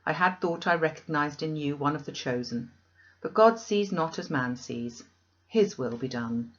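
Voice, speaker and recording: A woman's voice: deep voice, expressive, moderate pace, lo-fi sound. A woman reader voicing a cold, pious young clergyman in a classic novel, solemn and preacherly. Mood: stern, solemn.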